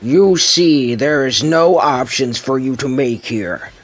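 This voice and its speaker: A man voicing a brutal villain boss in an amateur comic dub, threatening, pompous and deliberate. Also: medium pitch, over music, lightly acted. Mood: menacing. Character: menacing brutal villain boss interrogating a captive.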